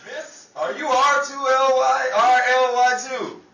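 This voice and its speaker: A man playing a bumbling, bewildered 1930s fast talker in a radio comedy, with theatrical delivery. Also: very high-pitched, flat delivery, vocal fry, sharp tone, full character voice. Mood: alarmed, theatrical. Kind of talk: old-time radio comedy acting. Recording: echoey distant stage pickup, noisy audience.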